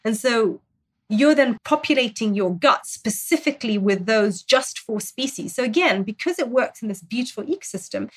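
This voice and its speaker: A woman who grew up in Zambia, a dietitian guest on a talk show, explaining calmly and earnestly. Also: a little high, moderate pace. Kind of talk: expert explaining in interview.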